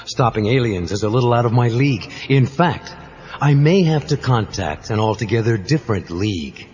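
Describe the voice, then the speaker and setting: A man playing a grim, controlled superhero in an audio drama, speaking in a terse, deliberate way. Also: medium pitch, expressive, a little mellow, lightly acted. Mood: serious, resolute. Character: grim, controlled adult male crime-fighting superhero. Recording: dull cassette sound, reverberant cave effect.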